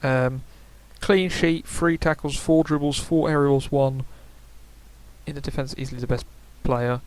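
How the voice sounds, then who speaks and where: A young man talks through his picks in a loose, rambling way. He fills gaps with "uh" and "um" and stops to correct himself. His voice sits at a middle pitch. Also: steady tone, unhurried, no echo. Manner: chatty, rambling, frequent fillers and self-corrections. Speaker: gaming YouTuber. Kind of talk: casual predictions commentary.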